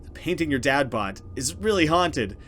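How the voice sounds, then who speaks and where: Man reading as urgent, frightened young woman, animated. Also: fairly high pitch, moderate pace, slight background noise. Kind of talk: dramatic visual novel read-aloud.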